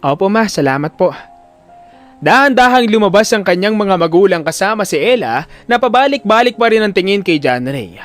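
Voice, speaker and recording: Male narrator for audio drama: high voice, very animated range, emphatic storytelling, music underneath. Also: a little fast.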